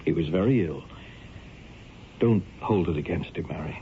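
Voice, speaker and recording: An actor playing a reassuring doctor in a 1970s radio drama, articulate and authoritative. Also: male voice, deep voice, normal speed. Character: measured, reassuring male doctor explaining a diagnosis. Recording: noisy muffled old radio recording.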